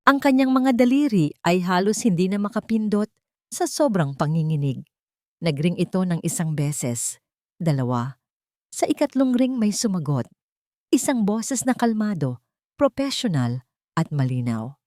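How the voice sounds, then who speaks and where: A low-voiced woman narrates a scripted romantic drama. Her delivery is measured and dramatic, broken into short storytelling phrases. The recording is close and dry, with no room around the voice. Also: sing-song, warm tone, no room sound.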